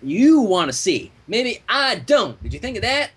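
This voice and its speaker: Man voicing a husky, folksy country farm mare. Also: high voice, big pitch swings, measured pace, voice pushed up, full character voice. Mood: stubborn. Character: stubborn, wary female country cartoon farm pony.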